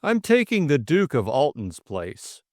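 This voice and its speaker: A man's voice: mid-range voice, huge pitch swings, unhurried, dry recording, studio quality, voice pushed up. A man voicing a powerful, self-important older businessman in an audiobook, with a blunt, overbearing manner. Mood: blunt, self-satisfied. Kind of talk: audiobook character dialogue.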